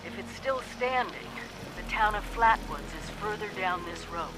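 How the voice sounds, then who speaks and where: An actress playing a resolute, caring woman overseer, speaking in a measured, earnest way on a video game audio log. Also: mid-range voice, animated, music in the background, lightly acted, poor audio. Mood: earnest, instructive. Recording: audio log with loud background noise.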